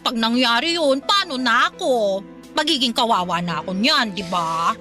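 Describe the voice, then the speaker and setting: Filipino mother, middle-aged, plaintive, needy, high swooping voice, radio drama. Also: lively intonation, moderate pace, sharp tone, faint music behind. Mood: pleading, self-pitying. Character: needy middle-aged mother, self-pitying, afraid of loneliness.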